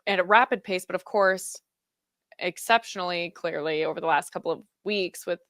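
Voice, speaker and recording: A woman's voice: lowish voice, normal speed, a bit bright, no room sound, clean studio sound. A woman hosting an interview podcast, asking thoughtful questions and affirming her guest. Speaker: podcast host.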